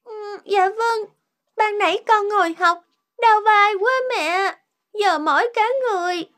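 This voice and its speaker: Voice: very high-pitched, measured pace, pushed and bright, exaggerated voice. A performer voicing a young daughter in a cartoon dub, plaintive, childlike and clingy. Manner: whining, plaintive. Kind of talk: cartoon dubbing.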